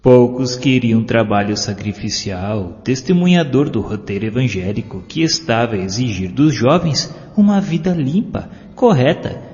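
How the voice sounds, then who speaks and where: A Brazilian man reads an inspirational story with solemn, measured phrasing. His voice sits at an average male pitch. The recording is dull and lo-fi, washed in long reverb. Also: animated, darkish tone. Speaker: audiobook narrator. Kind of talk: scripted inspirational story narration. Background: music.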